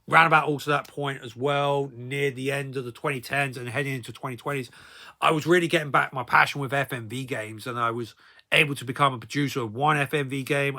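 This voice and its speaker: A man in his thirties chats as a video blogger with a somewhat high, sharply bright voice. He tells personal stories loosely and informally, wandering between points and padding sentence endings with habitual tag words. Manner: rambling, repeatedly tagging sentences with "though, really, as well". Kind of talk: casual rambling personal storytelling to viewers.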